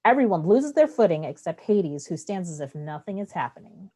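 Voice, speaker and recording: A woman's voice: lowish voice, sing-song, a little fast, mellow. A woman reading stage directions as the narrator of a play, in scripted narration.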